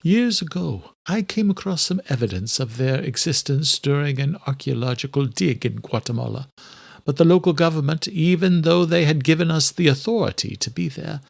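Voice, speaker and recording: A man voicing an elderly scholarly doctor in an audiobook, courteous and direct, with formal, deliberate, old-fashioned phrasing. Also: fairly high pitch, gravelly, dry recording, pitched above the performer's own voice. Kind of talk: audiobook character dialogue.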